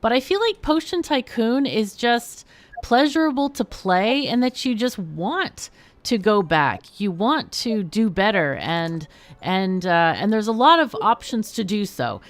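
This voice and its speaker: A woman's voice: medium pitch, animated, unhurried, no room sound. A woman hosting a casual gaming livestream, chatty and playful as she comments on the game and puts questions to her guests. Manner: chatty, playful, laughs often. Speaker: livestream host and gamer.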